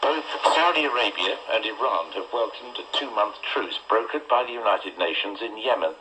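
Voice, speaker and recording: A man's voice: on the low side, lively intonation, normal speed, bright, forceful tone. A man reading a scripted news bulletin as a radio newsreader. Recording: muffled noisy shortwave radio broadcast.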